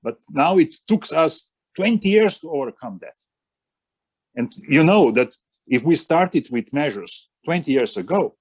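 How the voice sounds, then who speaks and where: A man with a Slovenian accent on a video call, speaking haltingly with many pauses as a roundtable panelist. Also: fairly high pitch, a little mellow, husky, no echo. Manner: halting, many fillers and restarts. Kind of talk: online roundtable discussion.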